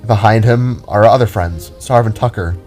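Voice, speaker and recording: A man playing an earnest male spark in an audio drama, speaking in a halting, heartfelt way. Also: on the low side, a little mellow, soft background music, close to an everyday voice. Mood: proud, heartfelt. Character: earnest male blue spark, moved by reunion.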